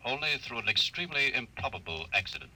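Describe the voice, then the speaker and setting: Impersonal answering machine, precise announcer delivery, intensely bright, vintage radio sci-fi. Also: male voice, mid-range voice, big pitch swings, fast. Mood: impersonal, factual. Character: all-knowing thinking machine, formal, impersonal answering service. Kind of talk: 1950s radio drama acting. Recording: faintly noisy vintage radio recording.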